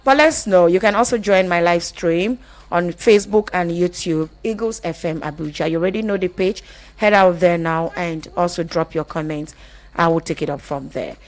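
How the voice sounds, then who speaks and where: A woman with a Nigerian accent hosting a radio show in an expressive, conversational way, asking rhetorical questions. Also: on the low side, normal speed. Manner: expressive, rhetorical questions, some Pidgin phrases. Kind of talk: conversational radio presenting with storytelling.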